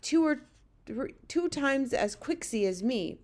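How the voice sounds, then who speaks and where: A woman voicing a gentle, friendly giant man with mangled folksy grammar and an earnest manner, as in a storybook read-aloud. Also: high-pitched, animated, slow, pitched above the performer's own voice. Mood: nervous hushed urgency.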